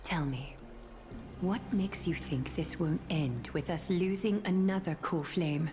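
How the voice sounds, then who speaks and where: An actress playing a regal, composed and stern female leader in an anime fantasy game, with formal, measured speech. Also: on the low side, over music, close to an everyday voice. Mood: probing.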